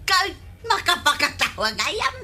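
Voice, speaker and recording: An actress playing a carefree, debt-dodging village wife in an Ilocano radio comedy drama, with an Ilocano accent. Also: female voice, very high-pitched, sing-song, measured pace, sharp tone, faint music behind, heavily acted. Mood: amused.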